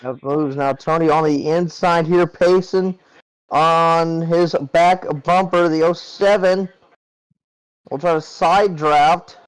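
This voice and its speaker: Male play-by-play caller, smooth, chatty delivery. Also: fairly high pitch, fairly even intonation, slow pace, low-quality recording. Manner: chatty, conversational race calling. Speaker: play-by-play commentator. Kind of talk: sim racing broadcast commentary.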